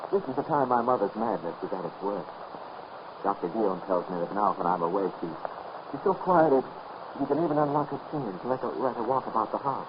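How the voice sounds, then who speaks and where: An actor playing an anguished, evasive husband with a dark secret, halting and imploring in melodramatic 1930s radio style, on a noisy muffled recording. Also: male voice, lowish voice, lively intonation, natural voice. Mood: troubled, evasive. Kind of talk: 1930s radio horror acting.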